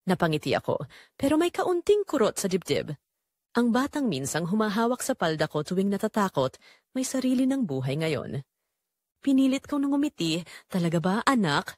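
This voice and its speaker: A woman's voice: medium pitch, big pitch swings, normal speed, a little mellow, no echo, studio quality. A woman playing a lonely middle-aged widowed mother who tells her secretly yearning story in an audio drama. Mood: bittersweet. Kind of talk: first-person dramatic audio story narration.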